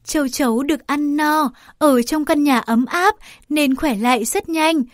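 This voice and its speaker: High-pitched woman reading a children's story. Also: unhurried, dry recording. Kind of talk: scripted children's story narration.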